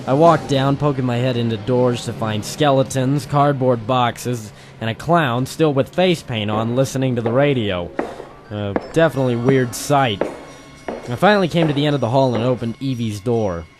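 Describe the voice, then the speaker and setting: A man's voice: medium pitch, moderate pace, music in the background, close to an everyday voice. A man playing a hardboiled private eye, narrating dramatically and wryly in the style of an old-time noir radio drama. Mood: wry, watchful. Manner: wry, dramatic first-person storytelling. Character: hardboiled male private eye narrating his own case.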